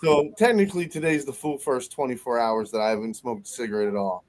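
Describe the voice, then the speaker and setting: A man's voice: medium pitch, quick pace, a little mellow. A man in his thirties, a guest on a casual podcast. He chats loosely, laughs often and stumbles over repeated words. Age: 30s. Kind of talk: casual chat.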